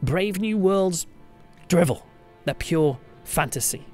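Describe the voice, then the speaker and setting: A man presents a conversational solo podcast with a highly animated voice. His pitch moves widely as he makes points emphatically and reflectively, like someone telling a story. Soft music sits behind him. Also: takes it slowly. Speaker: podcast host and church pastor.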